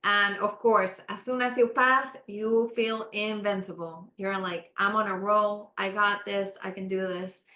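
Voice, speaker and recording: Woman from panama, middle pitch, earnest storyteller, echoey room. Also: bright, forceful tone. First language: Spanish. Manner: earnest, storytelling, emphatic repeats. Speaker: guest, architect. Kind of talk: casual interview chat.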